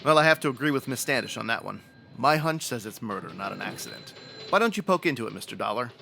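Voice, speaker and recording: A bright-voiced, matter-of-fact police sergeant. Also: male voice, mid-range voice, lively intonation, fast, creaky, slight background noise. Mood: speculating. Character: matter-of-fact male police sergeant in old-time detective serial. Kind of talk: old-time radio detective drama acting.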